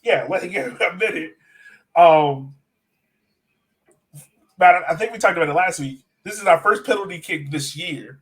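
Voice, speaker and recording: A man's voice: fairly high pitch, moderate pace, long pauses, a bit bright. A man hosting a casual sports fan podcast, talking in a conversational, self-questioning way. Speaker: podcast host.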